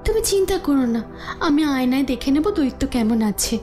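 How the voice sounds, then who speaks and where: Sweet-natured cartoon daughter, very high voice, earnest, pleading, devoted, music underneath. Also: female voice, expressive, a little fast. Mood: reassuring. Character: devoted, kind-hearted young daughter, fairy-tale heroine. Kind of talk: cartoon fairy-tale voice acting. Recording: music behind the voice.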